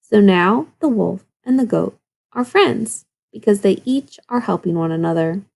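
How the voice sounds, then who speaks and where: Casting: female primary teacher for an expressive storybook read-aloud, medium pitch, creaky edge, instructive delivery. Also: unhurried. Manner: instructive, questioning asides to children. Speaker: second-grade teacher.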